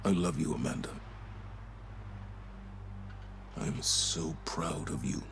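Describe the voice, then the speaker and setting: A man playing a stoic, burdened military commander in a video game, speaking solemnly and haltingly, heavy with grief. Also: a bass voice, creaky, a bit bright, slight background noise, full character voice. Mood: anguished grief. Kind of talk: scripted video game monologue. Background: music.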